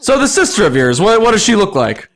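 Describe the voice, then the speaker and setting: Man acting gruff storybook ogre, blunt, impatient, helpful, lively pitch, music behind. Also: brisk, heavily acted. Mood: impatient, decisive. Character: gruff big green male ogre, blunt but helpful. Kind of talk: dramatic fan fiction reading.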